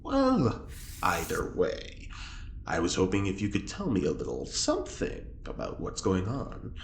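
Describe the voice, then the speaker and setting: Casting: sly, theatrical male prisoner, low voice with very animated swoops, audio drama. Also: measured pace, exaggerated voice. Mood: sly, teasing. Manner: theatrical, wheedling, mock-polite. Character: smooth-talking, nosy male prisoner, slyly teasing the guards. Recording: echoey room with noticeable background noise.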